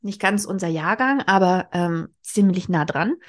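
A woman's voice: lowish voice, expressive, a little slow. A woman in her forties hosting a book podcast, explaining casually as she talks with a co-host and thinks aloud. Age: 40s. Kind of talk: casual book discussion between co-hosts.

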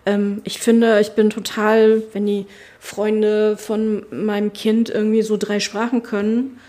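Middle-aged woman, politician, interview talk, halting, thinking aloud, average pitch. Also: flat delivery, dark tone. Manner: thoughtful, halting, many fillers and restarts. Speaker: politician, spokesperson for family and education. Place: her constituency office.

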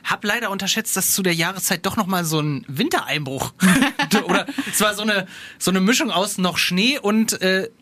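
A man's voice: sits high, moderate pace, sharp tone, dry recording. A young man co-hosting a podcast, telling a story in an easygoing, self-mocking way. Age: young adult. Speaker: podcast co-host. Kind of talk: casual chat.

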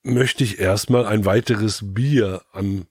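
A man's voice: fairly low pitch, slow, husky, dry recording, clean studio sound. A man co-hosting a podcast in casual panel chat, colloquial and cheekily self-important. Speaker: podcast co-host.

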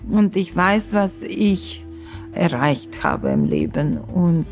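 A woman's voice: lowish voice, huge pitch swings, takes it slowly, darkish tone, faint music behind. A woman around sixty from Ukraine, telling her own story in an interview, hesitant and searching for words. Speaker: interviewee, social worker. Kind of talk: personal interview account.

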